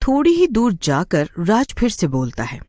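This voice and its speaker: A woman's voice: medium pitch, huge pitch swings, normal speed, dark tone, no echo, clean studio sound. A woman narrating a scripted story in a dramatic storytelling style.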